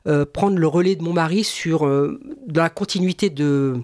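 A woman's voice: sits low, normal speed, darkish tone, no echo. Hesitant woman singer, dry sound. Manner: hesitant, many restarts and repeated agreement. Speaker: singer, guest. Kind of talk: radio interview.

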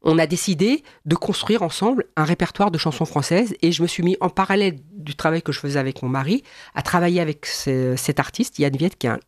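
A woman's voice: low voice, quick pace, hardly any pauses, dry recording, studio quality. A woman singer being interviewed, talking hesitantly with frequent restarts and eager repeated agreement. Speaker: singer, guest. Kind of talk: radio interview.

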